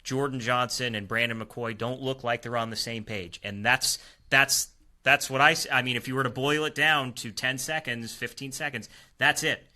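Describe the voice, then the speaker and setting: An American man on a sports panel, with a very bright, forceful voice in the middle range. He talks casually but emphatically, repeating phrases for weight. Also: medium pitch, fairly even intonation, normal speed.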